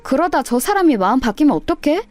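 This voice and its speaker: A woman's voice: high-pitched, very animated, fast, darkish tone, no echo, faint music behind, voice pushed up. A woman voicing a lovesick, stubborn young adult daughter in story dialogue, whining and insistent. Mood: pleading.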